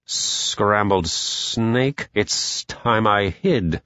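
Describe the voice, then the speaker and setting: A man voicing a sly, sinister male snake with a drawn-out, oily delivery for a children's story. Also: lowish voice, fairly bright tone, no echo, clean studio sound. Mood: wary. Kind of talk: children's storybook character reading.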